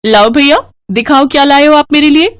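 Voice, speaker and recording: A woman's voice: sits high, normal speed, voice pushed up, close to an everyday voice. A woman voicing a vain, scornful young sister in a melodramatic moral story, with a whiny, disdainful manner. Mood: eager. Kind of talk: melodramatic storybook character acting.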